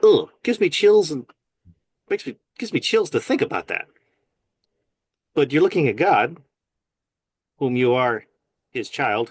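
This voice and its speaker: A man's voice: medium pitch, husky, no echo, studio quality. A man speaking as a guest in a casual faith conversation, earnest and emphatic, often agreeing firmly. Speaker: guest, nurse.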